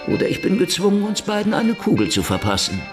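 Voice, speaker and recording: A man's voice: high voice, sing-song, fast talker, over music. A man acting in a German radio drama as a brash, wisecracking, defiant intruder, needling and mock-polite. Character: brash, wisecracking, defiant male intruder interrogating KGB men at gunpoint.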